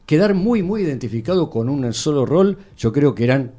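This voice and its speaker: A man's voice: on the high side, lively intonation, moderate pace, mellow. A man with an Argentine accent explaining things casually in a radio panel conversation.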